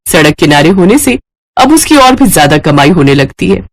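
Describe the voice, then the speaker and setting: Cartoon moral-tale narrator, scripted read, very animated pitch movement, mid-range voice. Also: normal speed.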